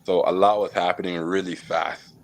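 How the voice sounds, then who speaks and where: A man from Jamaica, a podcast guest, telling his life story in a relaxed, reflective way with many fillers and small laughs. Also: low-pitched, normal speed, a little hiss or hum. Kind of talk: casual storytelling interview.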